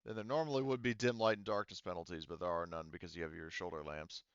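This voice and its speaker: A man acting as game master of a tabletop roleplaying game, explaining rules haltingly as he thinks aloud. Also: low-pitched, steady tone, smooth, no room sound, muffled recording.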